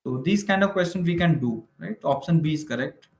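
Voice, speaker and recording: A man's voice: a little high, lively intonation, fairly quick, darkish tone. A man with an Indian accent teaching maths in an informal online lecture, explaining step by step and often checking in with short tag questions. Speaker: maths teacher for exam preparation. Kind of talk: informal online lecture, working through problems. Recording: roomy, echoing room sound.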